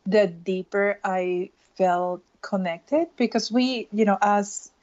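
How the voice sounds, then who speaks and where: A woman's voice: mid-range voice, takes it slowly, darkish tone, lo-fi sound. Earnest woman. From Peru. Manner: earnest, restarts phrases, emotional. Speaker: illustrator. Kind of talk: casual interview.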